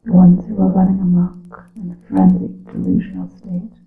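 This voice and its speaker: A woman whispering an ASMR roleplay as a grave witch, in slow, hushed, theatrical phrasing with a breathy voice. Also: level tone, soft background music. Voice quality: breathy whispering mixed with soft low voice. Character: grave witch counseling her coven in secret. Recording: muffled lo-fi, echoing room.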